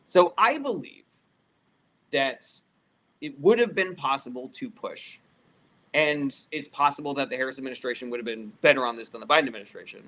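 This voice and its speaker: Bright, forceful man fielding political questions. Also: medium pitch, expressive, brisk. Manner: conversational, opinionated, argumentative. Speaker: live stream host answering viewer questions. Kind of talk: casual political Q&A, reading comments aloud and replying.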